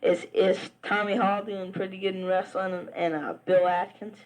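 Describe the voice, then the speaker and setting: A man's voice: sits high, flat delivery, normal speed, rough recording. A teenage boy from Virginia with a Southern accent talks casually to a friend back home. He rambles from one thought to the next, with plenty of "uh" and false starts, like someone chatting into a tape recorder. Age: teens. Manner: rambling, chatty, full of "uh" and restarts. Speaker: high school wrestler. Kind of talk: casual spoken letter to a friend.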